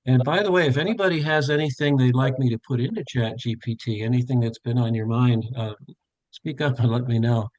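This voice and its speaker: A man's voice: medium pitch, brisk, darkish tone, dry recording, low-quality recording. Older man, tutor trainer, live online workshop, thinks aloud, fillers and restarts, mid-range voice, dry sound, video call. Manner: thinks aloud, narrating each step with frequent fillers and self-corrections. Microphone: webcam on a video call.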